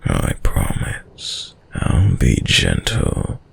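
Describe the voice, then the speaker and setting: Dominant vampire master, extremely low pitch, very slow delivery, silky menace, condescending tenderness, intimate roleplay. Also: male voice, huge pitch swings, exaggerated voice. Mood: predatory, seductive. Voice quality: deep, gravelly, breathy. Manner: slow, commanding, condescendingly tender, often dropping to whispers. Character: sadistic, dominant male vampire lord, silkily menacing. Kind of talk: intimate audio roleplay monologue to the listener. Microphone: close, dry studio mic.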